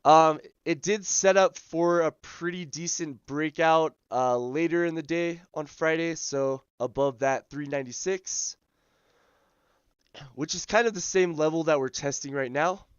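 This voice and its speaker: A man hosting a live day-trading stream, his voice scratchy as he loses it, talking through charts in a relaxed way full of ums and uhs. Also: on the high side, unhurried, smooth, no room sound, highs cut off. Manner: relaxed, filler-heavy, thinking aloud. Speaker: day trading livestream host. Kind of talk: live market commentary.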